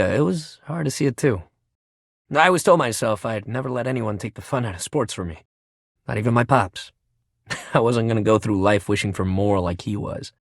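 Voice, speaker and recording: A sporty, upbeat young dude from a video game, pitch leaping all over the place. He talks like a chummy coach full of slang, in a very dry, close recording. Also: creaky, no echo. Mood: hurt, let down, then wistful, reflective. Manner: slangy, buddy-like coach pep talk. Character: upbeat, sporty young badminton-loving dude, animated shuttlecock. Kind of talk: video game character voice acting.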